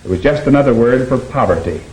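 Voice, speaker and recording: A man narrating a 1950s documentary film in a steady, grave, explanatory tone. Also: medium pitch, fast talker, rough recording.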